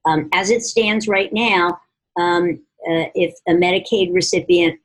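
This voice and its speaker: Low, husky woman, halting and formal. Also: steady tone, low-quality recording. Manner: halting, heavily hesitant, formal policy phrasing. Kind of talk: press conference question-and-answer.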